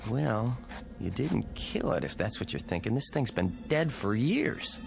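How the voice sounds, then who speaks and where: Cynical, short-tempered husband. American, dismissive and swooping delivery, mid-century TV drama with music behind. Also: male voice, medium pitch, sing-song, measured pace, exaggerated voice. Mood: irritated, dismissive. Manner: sardonic, gruff, dismissive. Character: cynical, irritable husband stranded in a strange town.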